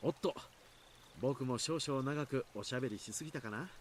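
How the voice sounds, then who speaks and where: Actor as a fast-talking, easygoing explainer man. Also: medium pitch, a little hiss or hum, close to an everyday voice. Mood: light, friendly. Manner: explanatory, reassuring, long-winded. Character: easygoing adult man calmly explaining away village curse rumours. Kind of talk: Japanese visual novel voice acting.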